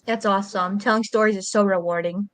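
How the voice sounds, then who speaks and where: Woman, interview host, mid-range pitch, dry sound, casual questions, encouraging manner. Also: steady tone, fast talker, no room sound.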